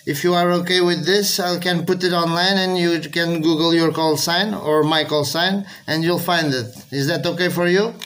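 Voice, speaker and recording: Man, Portuguese accent, fairly high voice, radio operator calling, faint noise and clicks behind. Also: steady tone, moderate pace, hardly any pauses, fairly bright tone. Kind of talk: radio contact calls with phonetic spelling.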